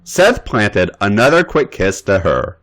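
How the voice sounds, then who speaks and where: A man hosting a podcast, giving a dramatic, expressive reading of an erotic fan fiction story. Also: fairly low pitch, a little slow.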